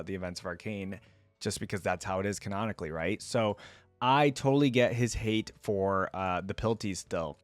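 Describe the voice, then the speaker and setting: A man's voice: mid-range voice, no echo. A man giving casual reaction commentary, informal and musing as he thinks aloud. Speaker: reaction video host.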